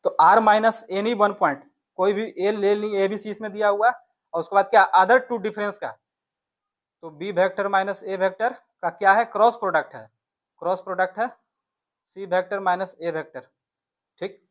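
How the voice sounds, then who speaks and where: Casting: maths teacher, mid-pitched voice, walking students through exam problems step by step with frequent check-in tags. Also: fairly even intonation, normal speed. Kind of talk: exam-question lesson, explaining step by step.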